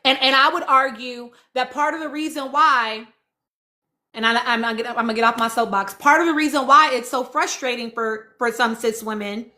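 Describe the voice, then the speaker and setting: A woman with a high, bright and forceful voice hosts an informal live stream. She speaks with emphasis and conviction, repeating key phrases as she urges her listeners along. Her delivery is conversational and impassioned. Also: moderate pace. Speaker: live-stream host reading and answering audience comments.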